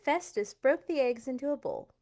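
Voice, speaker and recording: A woman's voice: high voice, lively intonation, moderate pace, darkish tone. Woman hosting a casual children's storytime. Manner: chatty, informal asides to listeners. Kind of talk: casual read-aloud for children.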